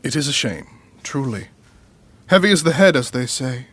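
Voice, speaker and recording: A husky, courtly, ambitious royal. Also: male voice, medium pitch, very animated, a bit bright. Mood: wistful, lofty. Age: middle-aged. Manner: formal, measured, pompous. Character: smooth, courtly, ambitious middle-aged royal brother, devoted lover. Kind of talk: audio drama acting.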